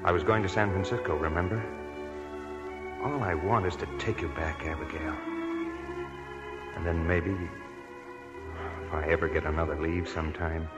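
Guy doing a young soldier, deep steady voice, 40s radio drama, loud music bed. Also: moderate pace, pauses often, lo-fi sound, lightly acted. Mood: tender. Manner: firm, calm, earnest. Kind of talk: 1940s radio acting. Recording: old 1940s radio broadcast with music.

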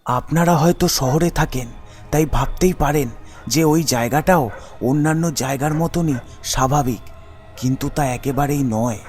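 A man's voice: on the high side, moderate pace, a little mellow, faint music behind, close to an everyday voice. A man voicing a frightened rural rickshaw puller in a cartoon ghost story, speaking in an alarmed, ominous way. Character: frightened rural rickshaw puller warning two young passengers. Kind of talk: animated ghost story character voice.

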